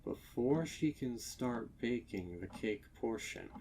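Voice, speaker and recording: A man reading a scripted horror story as narrator, with deliberate, dramatic delivery. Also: mid-range voice, expressive, dark tone, slight background noise, low-quality recording. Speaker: narrator of fan fiction readings.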